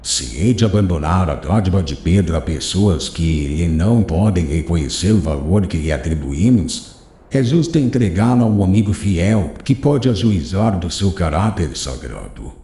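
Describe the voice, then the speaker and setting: Elderly sage played by a man, measured and paternal, deep mellow tone, echoey room. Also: lively intonation. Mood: solemn counsel. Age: older. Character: old wise male rabbi, gentle teacher. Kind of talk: audiobook character reading.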